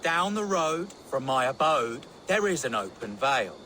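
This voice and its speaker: An actor playing a jovial village householder, hearty and singsong, in a video game. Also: male voice, mid-range voice, sing-song, normal speed, very noisy, close to an everyday voice. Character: jovial village householder man trading festive rhymes. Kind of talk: video game dialogue acting.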